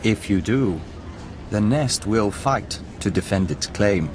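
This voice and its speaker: An actor playing a calm emissary of an insect hive in a video game, speaking formally and with detachment, as a collective "we". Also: male voice, lowish voice, slow pace, background noise. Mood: insistent.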